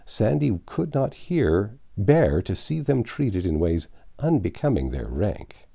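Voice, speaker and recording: A man narrates a literary tale with expressive storytelling. His voice sits fairly low and is mellow in tone, with wide, animated swings of pitch as he reads. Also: slow, creaky. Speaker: audiobook narrator.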